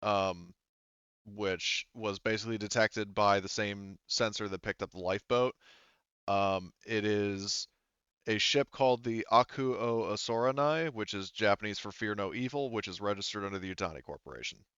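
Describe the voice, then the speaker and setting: A man with a fairly low, smooth voice narrates a tabletop game as its game master. He is casual and halting, doubling back as he improvises. The sound is dry and slightly dull. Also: fairly bright tone, dry recording.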